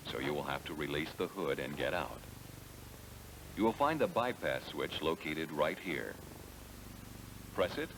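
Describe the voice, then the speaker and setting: Man, low voice, American accent, lively intonation, scripted instructional narration, noisy 1970s film soundtrack. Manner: measured, explanatory, addressing the listener directly. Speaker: narrator of a car sales training film.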